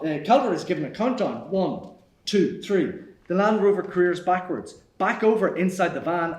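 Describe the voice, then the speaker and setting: A man reading stage directions as scripted narration at a screenplay table read. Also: sits high. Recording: echoey room.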